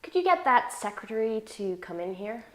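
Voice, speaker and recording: A woman's voice: a little high, sing-song, moderate pace, fairly bright tone. A woman playing a haughty, commanding female shareholder in a 1980s boardroom drama read-through. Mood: condescending. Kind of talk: scripted drama table read.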